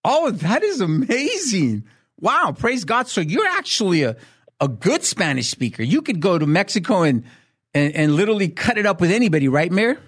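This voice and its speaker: A man hosting a talk show interview, warmly effusive and quick to exclaim praise. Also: high-pitched, sing-song, breathy edge. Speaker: host.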